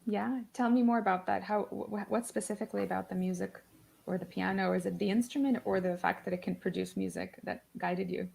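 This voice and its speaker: A woman's voice: medium pitch, steady tone, fairly quick, creaky, a little mellow. A woman hosting an interview on a video call, upbeat and loose in her phrasing, often laughing. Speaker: host. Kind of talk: conversational interview.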